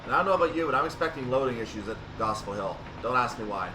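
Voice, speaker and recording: A man commentates on a train simulator in a mid-pitched voice, set back from the microphone. He narrates his actions in announcer-like phrases, with a clear vehicle rumble and hum behind him. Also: expressive, racing. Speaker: video game streamer. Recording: distant mic, loud vehicle-like background noise.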